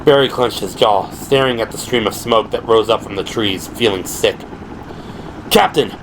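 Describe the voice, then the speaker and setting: A man's voice: on the low side, moderate pace, background noise. A man giving dramatic scripted narration for an audio drama. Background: helicopter and vehicle sound effects.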